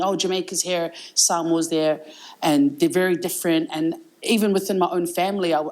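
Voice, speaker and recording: A woman in her thirties with a New Zealand accent speaks in a low, measured voice. She phrases things carefully and reflectively, as a guest speaking to a live audience. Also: rough recording. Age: 30s. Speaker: panel guest.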